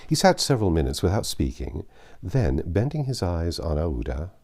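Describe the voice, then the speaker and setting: A man reading a classic novel aloud as a narrator, in a measured, expressive storytelling way. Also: low voice. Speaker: audiobook narrator.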